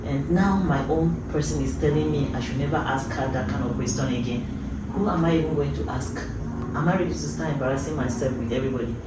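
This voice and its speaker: Very low-voiced woman in her fifties, echoey. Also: brisk. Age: early 50s. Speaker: YouTube host. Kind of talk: personal vlog talk to camera. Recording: echoey room, distant mic, loud music.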